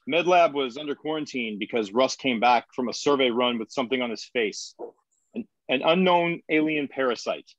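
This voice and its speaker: An actor playing an earnest colony leader trying to keep control in a screenplay table read, measured and placating. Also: male voice, medium pitch, expressive, no room sound, highs cut off, lightly acted. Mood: solemn, official.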